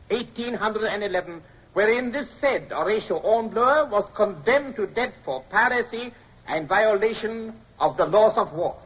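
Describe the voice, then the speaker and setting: An actor playing a coldly zealous military prosecutor in a radio drama, formal, declamatory and insistent. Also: male voice, sits high. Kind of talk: mid-century radio drama acting. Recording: muffled old radio recording.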